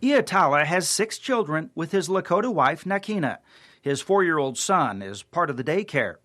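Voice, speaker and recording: A man's voice: a little high, big pitch swings, moderate pace, bright, forceful tone. A man narrating a local TV news feature in a scripted reporter style.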